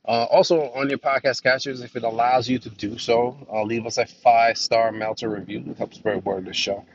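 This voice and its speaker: A man with a lowish, gravelly voice rambles through his solo podcast with plenty of fillers, sounding nasal from a cold that hasn't cleared. The recording is dull and lo-fi, with him set back from the mic and a faint hum behind him. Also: fairly even intonation, a little fast, distant mic. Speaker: podcast host. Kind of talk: casual solo podcast talk.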